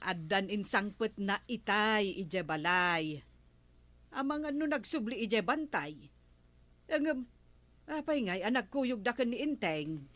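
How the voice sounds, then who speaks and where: A woman playing a worried, chatty villager in a comedy drama, with hesitant, drawn-out interjections. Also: medium pitch, animated, a bit bright, no echo. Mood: concerned. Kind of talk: broadcast comedy drama acting.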